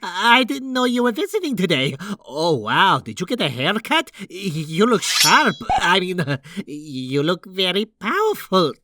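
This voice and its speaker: A man's voice: high voice, sing-song, a little slow, few pauses, pushed and bright, slight background noise, full character voice. A man voicing an anxious, apologetic young man with a nervous, stammering, pleading manner in a fan comic dub. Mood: nervous, flattering.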